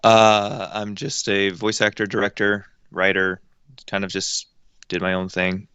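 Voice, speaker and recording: A man's voice: on the low side, monotone, measured pace, sharp tone, clear, resonant tone, dry recording. A young man in his late teens, a voice actor and director, answering interview questions in a hesitant, modest way with frequent ums. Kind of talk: casual interview chat.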